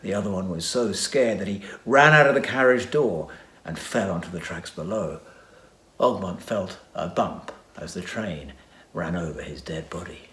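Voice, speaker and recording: A man narrating a children's adventure story with dramatic reading and hushed asides. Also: deep voice, animated, normal speed. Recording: echoey room.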